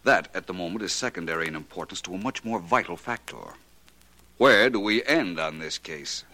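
An actor playing a shrewd, courteous, self-assured detective with polished, probing delivery in a 1940s radio drama. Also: male voice, deep voice, expressive, fairly quick, heavy background noise. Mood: assured, polite.

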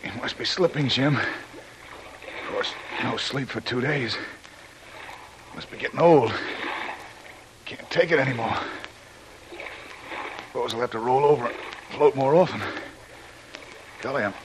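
An actor playing a worn-out, plucky adventure hero, sounding exhausted, in an old-time radio drama. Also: male voice, fairly low pitch, a little fast, background noise, close to an everyday voice. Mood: weary, determined. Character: worn-out heroic adventurer, strong swimmer, polite and plucky.

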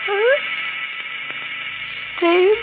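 High-pitched, dazed, frightened woman. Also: heavily acted. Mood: dazed, confessing. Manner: pleading, halting, childlike and dazed. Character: terrified, unhinged woman confessing to killings in a daze. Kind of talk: 1940s radio mystery acting. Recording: dull old radio recording, music underneath.

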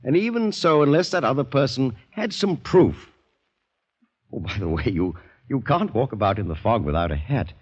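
An actor playing a suave, politely menacing older doctor in 1940s radio drama, speaking in measured, insinuating phrases. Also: male voice, mid-range voice, sing-song, slightly rough. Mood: suavely polite. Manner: courteous, measured, insinuating storytelling. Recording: dull 1940s radio, long reverb.

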